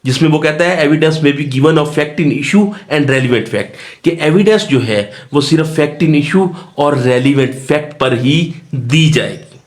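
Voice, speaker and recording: Higher-voiced man lecturing. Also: slow. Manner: didactic, repeats and rephrases key points. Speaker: law lecturer. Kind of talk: exam-focused lecture mixing Urdu-Hindi and English terms.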